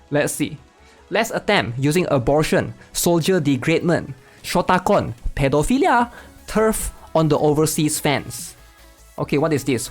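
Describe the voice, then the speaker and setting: A man hosting a gaming channel, casually commenting while reading online posts aloud and often tagging sentences with "right?". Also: on the high side. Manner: chatty, tags sentences with "right?".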